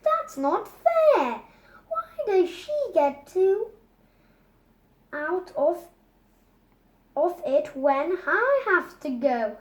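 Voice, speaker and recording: A man's voice: extremely high pitch, very animated, drawn-out words, long pauses, voice pushed up, exaggerated voice. A young boy voicing a grumbling, protesting schoolboy from a storybook, whiny and indignant. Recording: roomy, echoing home recording.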